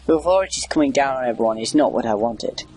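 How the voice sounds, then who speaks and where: A man's voice: mid-range voice, lively intonation, fast, darkish tone, husky. A man giving casual, rambling video game commentary, wry and chatty as he reacts aloud. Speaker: gamer doing a video game playthrough.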